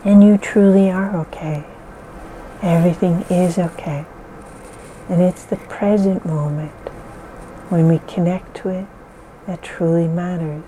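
A woman leading a guided relaxation in slow, soothing, repetitive phrases. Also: lowish voice, steady tone, dark tone, a little hiss or hum, rough recording. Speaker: meditation guide.

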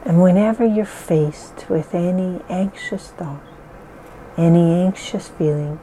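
Slow, dark-voiced woman guiding relaxation. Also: fairly low pitch, slight background noise, low-quality recording. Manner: slow, soothing, repetitive phrasing. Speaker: meditation guide. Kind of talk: guided relaxation script.

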